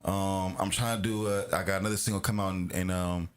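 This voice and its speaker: Low-voiced rambling man in casual talk. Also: level tone, a little fast, clear, resonant tone. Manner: rambling, stop-start phrasing. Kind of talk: casual chat.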